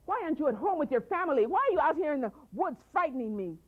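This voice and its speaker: A woman's voice: sits high, sing-song, quick pace, pitched above the performer's own voice. A woman voicing a greedy, proud young woman who scolds and insults everyone in a storybook tale. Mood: haughty. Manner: haughty, scolding, rude. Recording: tinny phone-like sound.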